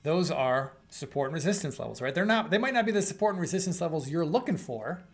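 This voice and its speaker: A man's voice: a little high, expressive, fast talker, faint music behind. A middle-aged man hosting a live trading stream, answering viewer questions in a casual, rambling way with fillers and restarts, losing his voice a bit. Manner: conversational, thinking aloud with fillers and restarts. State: losing his voice, clearing throat. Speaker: host of live trading room.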